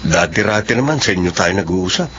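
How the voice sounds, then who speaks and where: An actor playing an earnest young boyfriend who asks anxious questions. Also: male voice, low voice, lively intonation, brisk, a bit bright. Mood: worried. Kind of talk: radio drama acting. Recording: dull lo-fi sound with faint noise.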